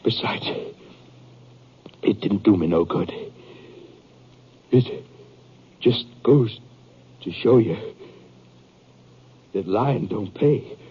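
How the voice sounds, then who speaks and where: A man playing a cunning, desperate American outlaw with rustic speech in a 1950s radio western. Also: low-pitched. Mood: dying, remorseful. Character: cunning, desperate young outlaw, a gunfighter's treacherous friend. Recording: muffled, hissy 1950s radio recording.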